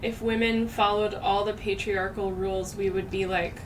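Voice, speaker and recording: A woman's voice: medium pitch, level tone, moderate pace. A woman hosting a podcast in casual, reflective chat, thinking aloud with many fillers. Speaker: podcast host. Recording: distant mic, background noise.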